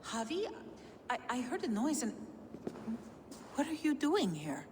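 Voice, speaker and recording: A woman's voice: high-pitched, very animated, unhurried. A woman playing a dutiful young giantess, a lord's daughter, in a video game, earnest and hesitant. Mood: bewildered, tender. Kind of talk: dramatic video game acting. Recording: game cutscene with clear background noise.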